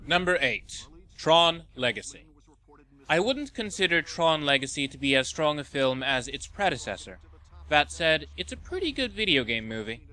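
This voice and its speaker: A man's voice: fairly high pitch, very animated, moderate pace, pushed and bright, low-quality recording. A man reading a scripted review narration as the host of a countdown list video.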